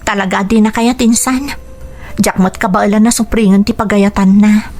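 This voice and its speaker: An actress playing a dutiful young Ilocano daughter, earnest and pleading, in a radio drama. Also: female voice, mid-range voice, steady tone, normal speed, darkish tone, natural voice.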